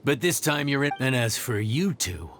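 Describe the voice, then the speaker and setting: A man's voice: mid-range voice, very animated, moderate pace, fairly bright tone, slight background noise. A man voicing a smug, mocking male smuggler henchman in a video game, with a taunting, sneering delivery. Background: game music.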